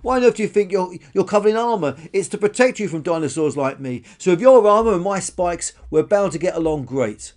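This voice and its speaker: A vain, spiky storybook she-dinosaur, acted out by a man, pitched high and pushed loud. She stammers through her grievances, weepy, defensive and full of bluster. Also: lively intonation, brisk, few pauses.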